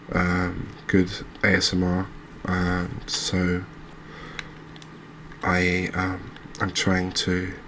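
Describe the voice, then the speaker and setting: A man gives soft-spoken ASMR commentary over a video game. His delivery is slow and gentle, with little rise or fall, and he pauses haltingly as he goes. There is faint noise behind his voice. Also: sits low. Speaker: host of a gaming channel.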